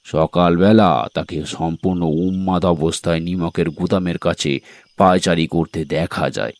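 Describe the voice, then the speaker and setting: A man with a deep voice tells a scripted tale as a storyteller. The sound is dry and close, with a dramatic narrative delivery. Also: steady tone, measured pace, dry recording.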